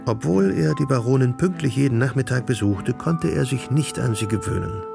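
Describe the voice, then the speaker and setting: An actor narrating a radio drama as a dry storyteller with a gravelly voice. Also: male voice, medium pitch, expressive, normal speed. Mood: measured, wry. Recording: clear music behind the voice.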